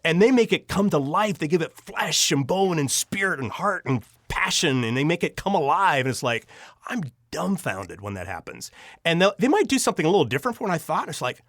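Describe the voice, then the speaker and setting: A man's voice: on the high side, big pitch swings, fairly quick, fairly bright tone, no echo, studio quality. A man speaking as an interview guest, an audio drama writer and director who tells anecdotes with gushing enthusiasm and acts out the dialogue. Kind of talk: animated anecdotal storytelling.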